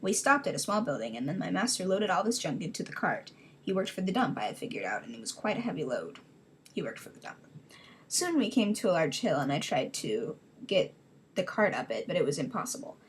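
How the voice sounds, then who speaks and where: A woman's voice: on the low side, sing-song, fairly quick, slight background noise. A woman giving a dramatic reading of her own childhood story, rambling into self-mocking casual asides.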